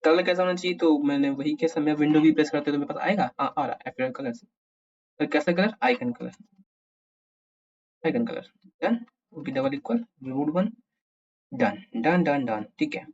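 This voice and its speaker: A man's voice: fairly high pitch, lively intonation, moderate pace, pauses often, darkish tone. A man with an Indian accent hosting a casual programming tutorial, thinking aloud and muttering questions to himself as he works. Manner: mutters to himself, asks himself questions while working. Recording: dull, muffled lo-fi recording.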